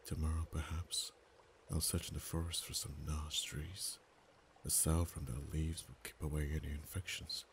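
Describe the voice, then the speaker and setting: Ancient proud dragon played by a man: very low, flat, unhurried, soft whispers, faint hiss behind. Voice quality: very deep, soft-spoken. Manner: slow, measured, drops to whispers. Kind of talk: ASMR roleplay voice acting.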